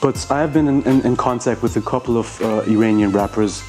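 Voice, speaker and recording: A man's voice: medium pitch, fairly quick, mellow, soft background music. A man from Iran whose first language is Persian, a rapper answering interview questions in a hesitant way, with many fillers and restarts.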